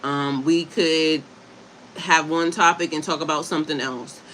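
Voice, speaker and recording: A woman from Delaware hosts a relaxed live stream, chatting with her viewers and reading aloud from a website. She stumbles over difficult terms and spells them out letter by letter. Her voice is bright and forceful, with a faint hiss of noise behind it. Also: sits low, a little slow, poor audio. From Delaware, USA. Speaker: livestream host and herbalist. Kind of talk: casual livestream chat, reading aloud from a website.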